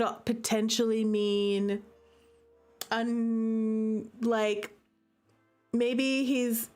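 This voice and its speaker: Animated, high-voiced woman streamer. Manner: gushing, playful, chatty; emphatic, repeats "okay" and "listen" as tags. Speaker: game streamer reacting with live chat. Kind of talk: casual livestream commentary.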